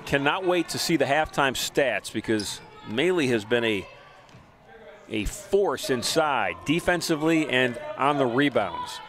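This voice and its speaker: A man giving brisk, informative play-by-play commentary on a college basketball game. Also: medium pitch, lively intonation, a bit bright. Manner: brisk, informative, names players quickly. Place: college gym broadcast booth.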